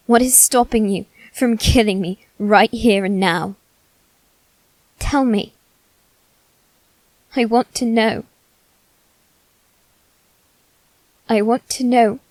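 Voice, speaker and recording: Woman acting as a proud aristocratic boy, slow measured delivery, close dry sound, halting, theatrical, wounded, ASMR roleplay drama. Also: fairly high pitch, a little mellow, dry recording, poor audio. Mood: pleading, demanding. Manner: halting, theatrical, formal phrasing. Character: proud, wounded, self-loathing aristocratic boy facing his demon.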